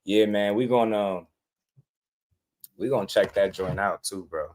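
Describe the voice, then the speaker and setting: A man with a lowish voice hosts a casual boxing talk, loose and slangy. He gets animated and laughs easily as he riffs. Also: a little slow, a little hiss or hum. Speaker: boxing podcast host.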